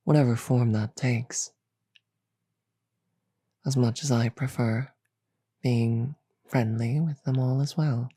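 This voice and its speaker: A regal demon queen played by a woman in an audio drama speaks very slowly and deliberately, with teasing, magnanimous command. Her voice is dark and husky, with an amused drawl, recorded close and dry. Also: extremely low pitch, steady tone, no echo, full character voice. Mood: warm, magnanimous. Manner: slow, deliberate, amused drawl with soft chuckles.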